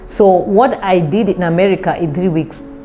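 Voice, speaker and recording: A middle-aged Kenyan woman, a travel coach, talking casually in an interview with thoughtful pauses. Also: fairly low pitch, warm tone, faint music behind. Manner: thoughtful, with many pauses and fillers.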